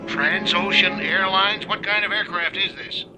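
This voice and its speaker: An actor playing a gruff, skeptical 1930s airport controller in a radio drama, brusque and official. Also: male voice, high-pitched, fairly even intonation, a little slow, music in the background, rough recording. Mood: suspicious, irritated.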